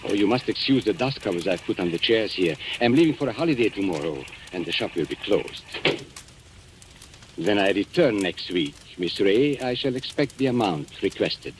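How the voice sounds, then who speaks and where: Man acting a suave, low-voiced blackmailer with animated delivery. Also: normal speed, close to an everyday voice. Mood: coolly menacing. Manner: polite, smug, theatrical, taunting. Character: suave, menacing male antique-shop owner and blackmailer. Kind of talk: old-time radio mystery acting. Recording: old radio recording with background hiss.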